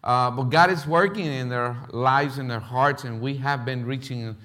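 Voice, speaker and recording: Middle-aged man from Puerto Rico, Philadelphia accent; missionary testimony in church, halting, lots of fillers, mid pitch. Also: pushed and bright. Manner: halting, many fillers and restarts.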